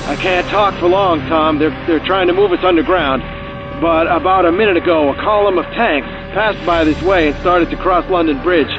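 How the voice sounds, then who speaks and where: Muffled news reporter acted by a man, higher-pitched. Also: moderate pace. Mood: urgent, shaken. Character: anxious television news reporter covering an attack. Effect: radio or TV filter. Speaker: actor. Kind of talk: news report in an audio drama. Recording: dull broadcast filter, music behind.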